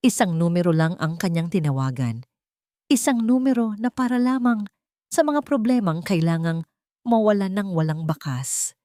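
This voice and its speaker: A woman's voice: medium pitch, moderate pace, dark tone, dry recording. A woman reading a dramatic romance story as a scripted narration.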